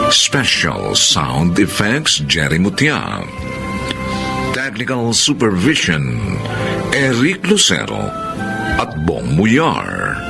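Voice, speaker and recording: A Filipino man narrates a radio drama in a solemn, theatrical style. His voice is deep and gravelly, with slow, deliberate delivery. Loud music plays beneath him.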